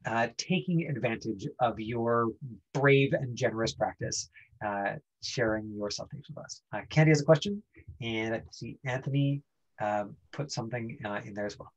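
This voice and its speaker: A male acting teacher with a husky, mid-pitched voice talks informally to his online class. He explains things haltingly, thinking as he goes. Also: dull audio. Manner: halting, explanatory, frequent 'you know'. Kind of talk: informal lesson talk.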